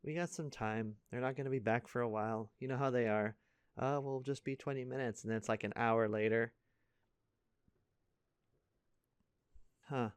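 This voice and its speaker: Man as halting, caring teenage best friend, close-up. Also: medium pitch, fairly even intonation, clear, resonant tone, dry recording, close to an everyday voice. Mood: worried, confused. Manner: casual, halting, stammering, conversational. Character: earnest, caring teenage male best friend, high school senior. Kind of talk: intimate audio roleplay addressed to the listener.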